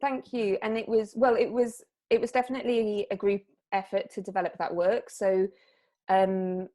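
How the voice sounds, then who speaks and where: A woman artist from Wales, chatting casually in an interview and often pausing on fillers and restarting her sentences. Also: mid-range voice, little pitch movement, dark tone. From South Wales. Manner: hesitant, lots of fillers and restarts.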